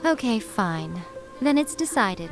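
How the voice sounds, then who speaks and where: An actress playing a sly, haughty shrine maiden in a video game, with a nonchalant, teasing, self-assured delivery. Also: female voice, fairly high pitch, sing-song, slow pace, over loud music, exaggerated voice. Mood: breezy. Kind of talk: video game character acting.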